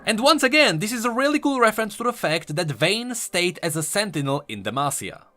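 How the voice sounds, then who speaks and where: A man's voice: high voice, very animated, a little fast, pushed and bright. A man doing an enthusiastic, conversational voice-over commentary as a video host. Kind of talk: game lore commentary voice-over.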